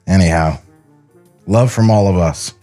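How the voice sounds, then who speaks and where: A man's voice: low-pitched, measured pace, mellow, voice pushed down. Man reading as sentimental animator's letter home, music behind. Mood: wistful, sincere. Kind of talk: voiceover read from a film script.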